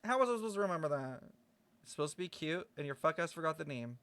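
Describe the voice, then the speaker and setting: A man's voice: fairly high pitch, lively intonation, fast talker, fairly bright tone, clear, resonant tone, dry recording. A man streaming a game with casual live commentary, blunt, sweary and dramatic in a sassy way. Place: close, dry microphone on a live stream.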